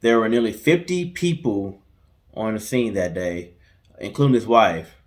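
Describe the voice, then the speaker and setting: A man with a lowish voice hosts a storytime show. He tells the story in an informal, colloquial way and slips in casual remarks as he goes. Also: sing-song, a little slow. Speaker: co-host of a storytime guessing-game show. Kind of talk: storytelling from notes with casual banter.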